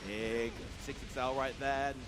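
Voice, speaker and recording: A man's voice: mid-range voice, fairly even intonation, unhurried, noisy background. A man giving fluent, analytical play-by-play commentary on a fighting game match. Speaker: esports commentator. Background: music.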